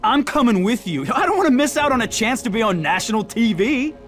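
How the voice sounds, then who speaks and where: High, animated brash rebel fighter. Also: male voice, fairly quick, faint music behind. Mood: won over, eager. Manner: mocking, excitable, streetwise. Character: brash, sarcastic rebel fighter, itching for revenge. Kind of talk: game cutscene dialogue.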